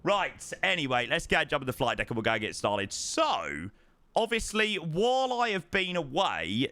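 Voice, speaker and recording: A young man chatting casually to his live-stream viewers, telling stories with lively, animated delivery and answering chat. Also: mid-range voice. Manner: chatty, animated storytelling, reads chat aloud. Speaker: flight sim streamer.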